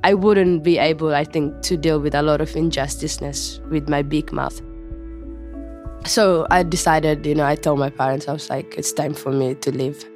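A woman's voice: low voice, fairly even intonation, normal speed, darkish tone, soft background music. A young woman from Somalia, a student speaking in a studio interview, telling her story casually with many fillers. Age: young adult.

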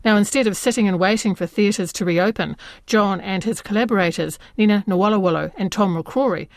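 A woman's voice: medium pitch, brisk, dry recording, studio quality. A woman hosting a radio arts programme, presenting and interviewing. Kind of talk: broadcast arts interview with scripted introduction.

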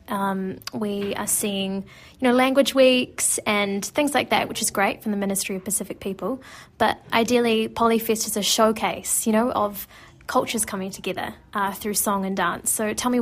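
A woman radio host, asking questions in a conversational, prompting way. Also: mid-range voice. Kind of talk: radio interview.

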